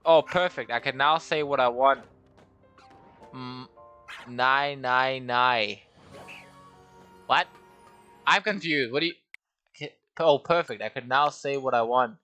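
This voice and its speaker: Bright-voiced man. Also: mid-range voice, takes it slowly, long pauses, smooth, faint music behind. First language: Danish. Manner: rambling, self-deprecating, talks to himself. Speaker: streamer. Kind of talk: casual game livestream commentary.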